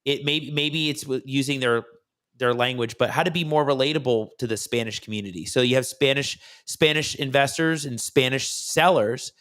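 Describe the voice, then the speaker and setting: A man hosting an interview, asking questions in a halting way and searching for words. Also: medium pitch, fairly bright tone. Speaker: host.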